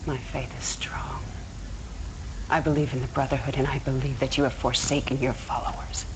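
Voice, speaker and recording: A woman's voice: rumbling low, flat delivery, moderate pace, noisy background. An actress playing a defiant female military general in a game cutscene, earnest and direct. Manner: earnest, pleading then defiant.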